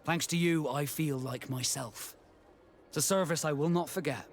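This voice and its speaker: An actor playing a proud, reserved male ship captain in a video game, speaking in a measured, formal and courteous way. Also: fairly high pitch, slight background noise, close to an everyday voice. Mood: earnest, grateful. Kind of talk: video game dialogue acting.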